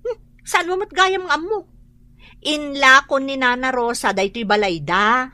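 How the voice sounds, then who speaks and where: An actress with a Filipino accent playing a gossipy, sharp-tongued woman neighbour in a radio drama. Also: high voice, a little slow, no room sound. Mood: gossipy, complaining.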